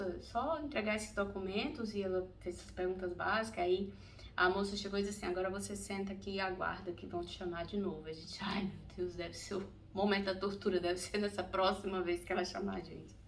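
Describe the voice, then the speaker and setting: A woman's voice: mid-range voice, fairly even intonation, quick pace, a bit bright. A middle-aged Brazilian woman telling a personal story in a casual, chatty vlog, laughing often as she goes. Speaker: vlogger. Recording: distant mic, faint ambient noise.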